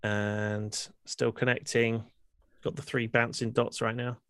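Guy hosting a call-in show, bright tone, easygoing, lots of uhs. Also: medium pitch, slow pace, clear, resonant tone, no room sound. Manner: relaxed, says uh and um a lot while running the stream. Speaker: podcast host taking calls. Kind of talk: casual chat.

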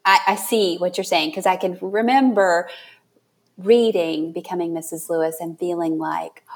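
A woman hosting a podcast interview, responding in a conversational, effusive and expressive way. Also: on the low side, normal speed. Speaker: podcast host.

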